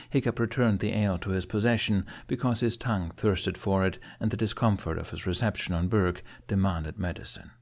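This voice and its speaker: Guy narrating a fantasy audiobook, lowish voice, expressive storytelling read.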